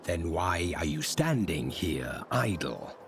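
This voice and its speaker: A resolute warrior hero from a fantasy game. The voice is extremely low and very slowly paced. Each line is formal, stern and stoic, with faint music underneath. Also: male voice, very animated, creaky, full character voice. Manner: terse, solemn, formal. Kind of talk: dramatic fantasy game acting.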